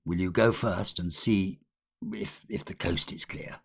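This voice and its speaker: A man voicing a nervous, despairing young antiquarian in a storybook, resigned and halting, sometimes muttering. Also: low-pitched, sing-song, creaky, fairly bright tone, husky, heavily acted. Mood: anxious, curt.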